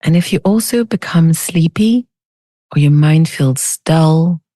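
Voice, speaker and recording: A woman leading a guided meditation as a teacher, speaking in a soothing, unhurried, gently inviting way. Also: low-pitched, dry recording, studio quality.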